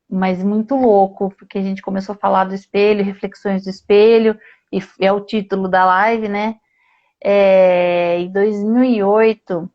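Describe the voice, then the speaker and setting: A woman from Brazil hosting a live-streamed conversation over a video call, telling a personal story in a lively way. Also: medium pitch, dull audio. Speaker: host.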